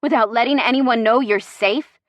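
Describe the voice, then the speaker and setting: A woman voicing a bossy but warm and protective young boyfriend, soft-spoken and wry with an intense edge. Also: a little high, animated, moderate pace, creaky, dry recording. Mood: strained. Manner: soft-spoken, wry, growling when strained.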